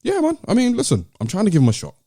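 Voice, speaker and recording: A man's voice: high-pitched, huge pitch swings, rapid-fire, warm tone, no room sound, clean studio sound. A man with a British London accent, hosting a podcast and casually discussing music with friends. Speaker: podcast host.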